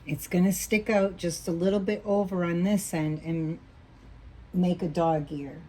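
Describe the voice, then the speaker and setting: A woman teaching a quilting block as she sews, patient and thinking aloud, a little hoarse as she loses her voice. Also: on the low side, steady tone, measured pace, dark tone. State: losing her voice, coughing. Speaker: quilting instructor. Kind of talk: relaxed hands-on sewing tutorial.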